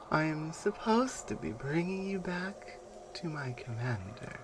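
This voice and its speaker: A man playing a menacing, taunting non-human warrior captor in an ASMR roleplay, speaking deliberately and with command. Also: a little high, slight background noise. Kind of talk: ASMR roleplay audio drama.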